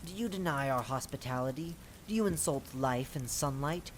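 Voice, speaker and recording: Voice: medium pitch, lively intonation, normal speed, a little hiss or hum, close to an everyday voice. A performer voicing proud, rivalrous fae courtiers of the seasons in a theatrical, declamatory audio-drama style. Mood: proud, indignant.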